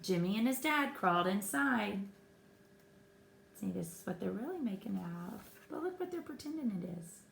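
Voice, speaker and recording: A woman reading a children's picture book aloud expressively, pausing to explain the pictures to her listeners. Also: medium pitch, lively intonation, gravelly, fairly bright tone, slight background noise. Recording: echoey room.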